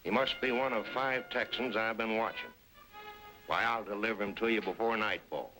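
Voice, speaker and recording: An actor playing a confident, sly henchman in an old-time western serial, with a brisk, assured delivery. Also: fairly low pitch, lightly acted, poor audio. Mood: smug. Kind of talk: 1930s-40s western serial acting. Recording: vintage radio recording with music.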